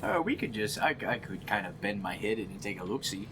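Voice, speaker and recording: A man's voice: fairly low pitch, sing-song, a little fast. A man chatting casually as a player in a tabletop roleplaying game, improvising his character's moves. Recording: clearly noisy room sound.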